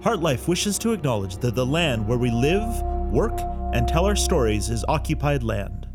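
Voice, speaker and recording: A man's voice: mid-range voice, sing-song, moderate pace, over music. A man reading scripted credits and acknowledgements as an announcer.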